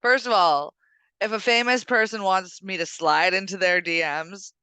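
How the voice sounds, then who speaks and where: Bright, clear, emphatic woman. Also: medium pitch, moderate pace, dry recording. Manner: emphatic, argues point by point. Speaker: co-host of a weekend current affairs show. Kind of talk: casual opinionated chat.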